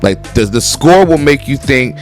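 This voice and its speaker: A man's voice: medium pitch, normal speed, faint music behind. A man hosting a football reaction video in casual, blunt talk that is peppered with swearing. His voice is nasal and congested from a sinus infection, and his throat is sore. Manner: blunt, excitable, swears freely. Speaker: football reaction host and coach.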